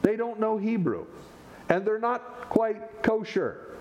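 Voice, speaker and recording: Guy preaching a sermon, voice sits kinda high and bounces all over, faint room noise behind. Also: slow pace, muffled recording. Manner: animated preaching, rhetorical questions, sudden pitch swings. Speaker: pastor.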